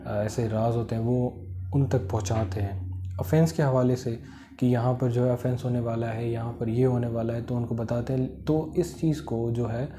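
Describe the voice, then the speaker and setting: A man with a Pakistani accent giving an informal explanatory lecture, halting and repetitive, with many filler phrases. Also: medium pitch, steady tone, noisy background. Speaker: law lecturer. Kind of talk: informal explanatory lecture, Urdu mixed with English legal terms.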